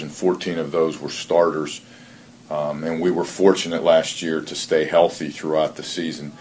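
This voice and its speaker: A man working as a football coach, giving earnest, measured interview answers on a conference call and often repeating his phrases. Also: deep voice. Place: conference call, faint noise behind. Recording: noisy conference call audio.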